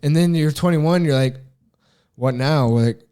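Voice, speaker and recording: Young male co-host, warm low-brightness voice, rambling casual chat. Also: measured pace, smooth. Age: young adult. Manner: earnest, rambling, heavy use of "like" and "dude". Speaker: podcast co-host.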